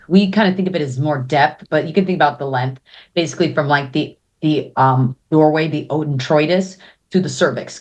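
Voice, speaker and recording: A woman urologist speaking as an interview guest, confident and direct, explaining clearly and checking in often. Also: sits low. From United States.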